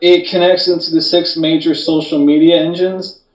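A man's voice: fairly high pitch, flat delivery, normal speed. A man co-hosting a podcast, talking casually and bluntly in slangy, unscripted language. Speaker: podcast co-host. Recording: roomy, distant from the microphone.

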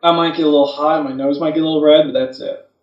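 A man co-hosting a podcast, telling personal anecdotes in a casual, matter-of-fact way with halting phrasing. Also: a little high, steady tone, muffled recording. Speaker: podcast co-host. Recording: roomy, distant from microphone.

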